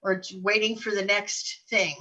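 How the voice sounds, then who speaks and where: A woman's voice: medium pitch, flat delivery, normal speed, pushed and bright. An older woman co-hosting a show, talking in a chatty, effusive way in casual conversation. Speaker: podcast co-host and producer. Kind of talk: casual chat.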